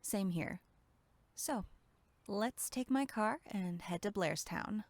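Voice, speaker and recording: A woman's voice: mid-range voice, lively intonation, slow pace, dry recording. A woman playing a brash, sarcastic young camp counselor in a horror audio drama, snarky and blunt. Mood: game, blunt. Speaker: voice actor.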